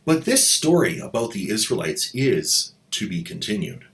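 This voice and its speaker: Low-ish guy host doing snarky commentary, dry jokes. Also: sing-song, normal speed, a bit bright. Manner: wry, mocking asides. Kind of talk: sarcastic commentary.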